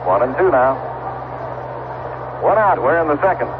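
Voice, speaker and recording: A man's voice: a little high, very animated, normal speed, phone-quality sound, background noise. A man calling baseball play-by-play as a radio announcer on a vintage 1970s broadcast. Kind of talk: live radio sports commentary. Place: outdoor ballpark.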